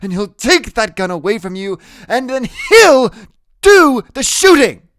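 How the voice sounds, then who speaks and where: A man acts out an old grandfather in a story reading, stubborn and protective. The pitch is pushed up and the tone is forceful. He speaks with emphatic, unbending resolve. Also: big pitch swings, unhurried, no room sound, studio quality, full character voice. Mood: insistent, bitter.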